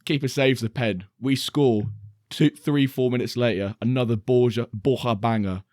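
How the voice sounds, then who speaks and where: A man co-hosting a podcast, his voice slightly croaky, telling a match story in an animated way. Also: medium pitch, moderate pace, no echo, studio quality. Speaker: podcast co-host. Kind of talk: casual football chat.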